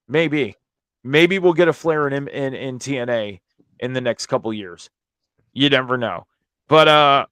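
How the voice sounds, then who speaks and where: A man with a hoarse voice, strained from screaming at a concert, hosting a casual, informal review and commentary show about wrestling. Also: on the high side, a bit bright, no room sound, clean studio sound.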